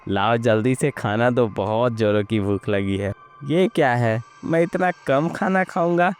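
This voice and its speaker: A man voicing a poor, hungry farm labourer in an animated village story, troubled and pleading in manner. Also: mid-range voice, a little slow, clean tone, close to an everyday voice. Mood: hungry, irritated.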